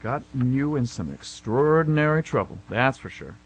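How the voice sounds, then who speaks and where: A man plays a gruff police officer in a radio drama, impatient and dryly sarcastic. His voice is raspy and medium in pitch, with animated swings as he needles his listener. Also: normal speed. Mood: sardonic, dismissive. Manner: sardonic, curt, unimpressed. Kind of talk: amateur radio drama acting.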